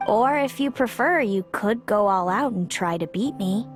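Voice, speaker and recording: A woman plays a proud older sister in a fantasy game who believes fate can't be changed. Her mid-pitched voice is measured and grave, with calm certainty. Quiet music sits in the background. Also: close to an everyday voice. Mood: confident. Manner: measured, grave, speaks of fate with cool confidence. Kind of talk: video game voice acting.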